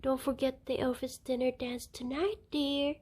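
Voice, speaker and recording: A woman voicing a fussy but affectionate father elephant in a storybook, speaking in a brisk, gallant way. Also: high-pitched, fairly even intonation, darkish tone, slightly rough, pitched above the performer's own voice. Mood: reminding.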